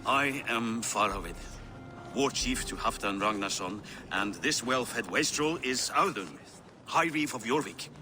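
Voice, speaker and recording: An actor playing a blunt, scornful Viking war chief in a dramatic video game. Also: male voice, mid-range voice, animated, measured pace, noisy background, natural voice. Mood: gruff, practical.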